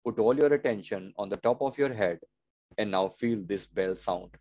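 A man's voice: lowish voice, normal speed, darkish tone. A man giving guided breathing meditation instructions, repeating short phrases as he goes.

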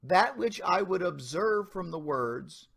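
A man giving a dramatic reading of an old sermon as a pastor, in solemn, deliberate, emphatic formal oratory. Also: high-pitched, expressive, gravelly, fairly bright tone, breathy edge.

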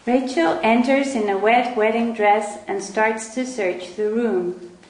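A woman's voice: fairly high pitch, steady tone, slow pace, darkish tone, slight background noise. A woman with a Spanish accent narrating stage directions for an amateur script reading. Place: wooden-floored room indoors. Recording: very echoey room.

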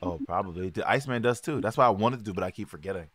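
A man with a slight lisp chatting casually while playing a video game with a friend, excitable and swearing at the screen. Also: low voice, racing, a crowd in the background. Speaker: gamer. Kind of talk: casual co-op gameplay chat. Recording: music playing behind.

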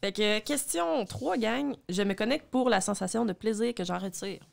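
A woman's voice: mid-range voice, normal speed, vocal fry. A young woman with a Quebec French accent, chatting and posing questions to a podcast panel in a small studio. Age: young adult. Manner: chatty, asks the group questions. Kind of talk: casual group discussion. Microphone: studio mic with headphones.

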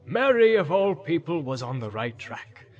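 A man voicing an excitable, learned old wizard with a commanding, theatrical manner, as a storybook character. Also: fairly high pitch, normal speed, highs cut off. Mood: rueful, amused. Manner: exclamatory, theatrical, swooping between amusement and urgency.

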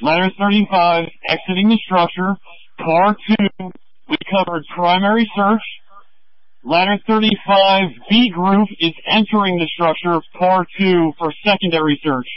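A man's voice: sits high, fairly even intonation, measured pace, muffled recording. A man giving short firefighter reports over a two-way radio.

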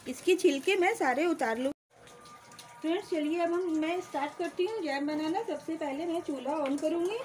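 A woman's voice: high voice, moderate pace, background noise. A woman hosting a cooking channel, explaining each step of a home recipe plainly and calling her viewers friends. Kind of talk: step-by-step cooking demonstration voice-over. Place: home kitchen.

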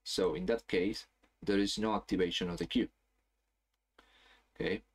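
Low-voiced man explaining software, chatty. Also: fairly even intonation, normal speed. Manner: casual, explanatory, frequent "okay?" checks. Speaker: software trainer. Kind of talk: screen-share tutorial.

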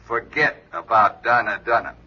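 An actor playing a menacing anonymous caller, terse and threatening over the telephone, in 1940s radio drama style. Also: male voice, medium pitch, moderate pace. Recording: muffled noisy old radio recording.

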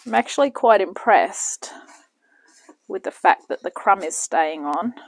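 A woman's voice: medium pitch, lively intonation, a little slow. A woman chatting casually as she cooks in her home kitchen and hosts her own cooking channel. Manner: chatty, talks while working in the kitchen.

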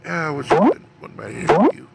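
A man's voice: on the high side, moderate pace, vocal fry, loud music behind the voice. A man with a cold and a scratchy voice, casually commenting as he plays a wrestling management game. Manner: relaxed, rambling narration of his picks. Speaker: gaming channel host. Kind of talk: let's play commentary.

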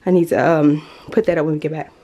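A woman's voice: sits low, fairly even intonation, quick pace, dark tone, lo-fi sound. A woman vlogger chatting and gushing as she walks through her apartment, filming with a handheld camera. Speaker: lifestyle vlogger. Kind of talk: casual home tour vlog. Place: apartment indoors. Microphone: handheld compact camera mic.

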